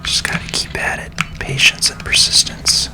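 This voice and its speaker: A person giving gentle, unhurried ASMR video game commentary as host, in a breathy whisper. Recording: music behind the whisper. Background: video game music.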